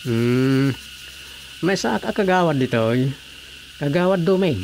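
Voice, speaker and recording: A man's voice: fairly high pitch, lively intonation, slow, gravelly, a little mellow, exaggerated voice, rough recording. A man playing a chatty, inquisitive village councillor with a Filipino accent in comedic radio drama dialogue. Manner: questioning, conversational. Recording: faint background noise.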